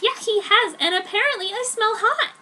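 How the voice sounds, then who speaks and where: A woman's voice: very high voice, moderate pace, bright, forceful tone, pitched above the performer's own voice. A woman voicing a gushing, breezy teenage girl in love, with exaggerated perkiness, in a story reading. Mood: perky, excited. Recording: noticeable background noise.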